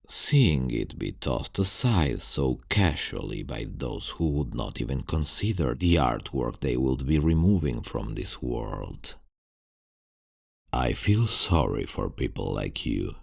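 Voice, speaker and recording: A man playing a mysterious, courteous, brooding vampire in an ASMR roleplay, speaking in formal, deliberate phrases with a deep, gravelly voice. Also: no room sound, clean studio sound, heavily acted. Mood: sorrowful. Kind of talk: ASMR roleplay, slow scripted monologue.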